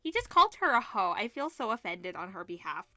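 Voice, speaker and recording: A woman's voice: mid-range voice, sing-song, fast talker, pushed and bright, no echo. A woman reading visual novel text aloud as host, dropping in informal asides and reactions. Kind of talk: reading game text aloud with casual commentary.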